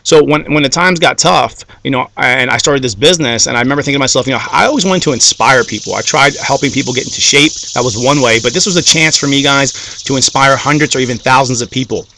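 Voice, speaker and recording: Fast, bright-voiced motivational man. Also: mid-range voice, creaky, slight background noise. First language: Greek. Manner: repeats "okay?" and "guys", builds to rising fired-up climax. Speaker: motivational speaker. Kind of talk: motivational talk to followers.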